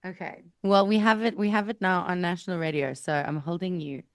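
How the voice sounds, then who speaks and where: A woman interviews a guest in a conversational radio style. Her voice sits a little low for a woman. The sound is close and dry, with no room around it. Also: fairly quick, dry recording.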